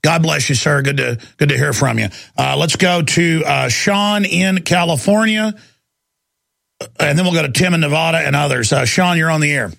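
A man's voice: a little high, moderate pace, creaky, a bit bright, dry recording, studio quality. An American man hosting a live political call-in radio show, talking in an emphatic, opinionated way. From United States. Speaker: talk radio host.

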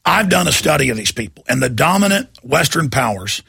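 A man hosting a talk radio show, with a deep, gravelly voice and a fast, emphatic way of talking. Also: very animated, pushed and bright, dry recording, clean studio sound.

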